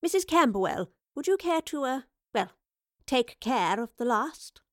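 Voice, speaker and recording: A woman's voice: sits high, big pitch swings, unhurried, no echo, clean studio sound, pitched above the performer's own voice. A woman voicing a plucky, courteous storybook professor, slightly flustered and given to exclaiming. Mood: polite, flustered. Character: eccentric, polite professor, plucky and encouraging.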